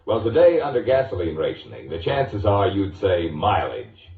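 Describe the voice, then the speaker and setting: A man's voice: sits low, big pitch swings, moderate pace, gravelly, echoey room, narrow, tinny audio. An American man announcing commercials in a polished, emphatic 1940s radio sales style. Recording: boxy, band-limited 1940s radio recording, distant.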